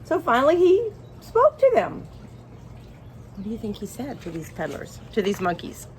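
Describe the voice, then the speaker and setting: A woman reading a picture book aloud to young children in an expressive way, engaging her listeners as she goes. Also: a little high, background noise. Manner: expressive, pauses to ask listeners questions. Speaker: children's storybook reader.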